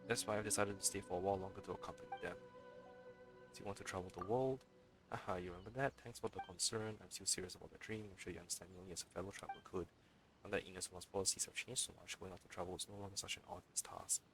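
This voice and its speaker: A man's voice: deep voice, steady tone, racing, over music, rough recording. A man livestreaming a video game, commenting and reading the dialogue aloud as he plays.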